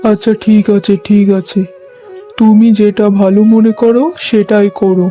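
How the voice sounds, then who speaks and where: Mild-tempered married man for a moral cartoon, played by a man; soft-edged, earnest, easily yielding. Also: high voice, little pitch movement, normal speed, soft background music, lightly acted. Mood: giving in. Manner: earnest, placating. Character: mild, yielding adult husband and dutiful elder son. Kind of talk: cartoon voice acting.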